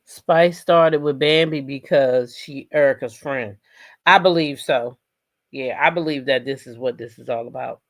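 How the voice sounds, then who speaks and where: A woman's voice: a bass voice, measured pace. An American woman doing casual live gossip commentary as host, sassy and emphatic, often repeating phrases for emphasis.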